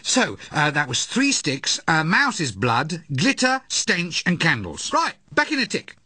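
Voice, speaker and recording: An actor playing a hapless, sardonic and cowardly assistant wizard in a video game, with an exasperated, whiny manner. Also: male voice, on the high side, huge pitch swings, measured pace, pushed and bright, breathy edge, no room sound, studio quality, heavily acted. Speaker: video game player character. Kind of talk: scripted comic game dialogue.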